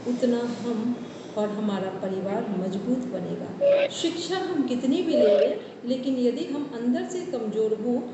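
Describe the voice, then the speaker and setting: A woman's voice: on the high side, very animated, a little slow. A woman, a college teacher invited as guest speaker, giving a formal, flowing speech at an online event. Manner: formal, flowing, lists many words in a row. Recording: echoey room from a distance, noisy.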